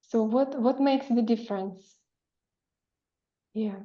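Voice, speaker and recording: A woman language coach asking calm, probing questions over a video call. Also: a little high, slow. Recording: dull echoey room sound.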